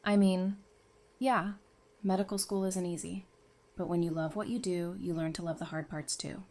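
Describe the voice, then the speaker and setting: An actress playing a warm, gently teasing woman doctor in an audio drama, talking in a casual, reassuring way. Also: on the low side, fairly even intonation, moderate pace, natural voice.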